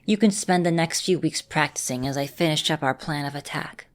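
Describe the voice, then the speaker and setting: A woman plays a vampire mistress in an ASMR roleplay. Her voice sits on the low side, and she talks straight to the listener like a caring, composed teacher, choosing measured, formal words. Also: natural voice. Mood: calm, instructive. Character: female vampire mistress, caring, composed mentor, easily flustered. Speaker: voice actor in a roleplay audio.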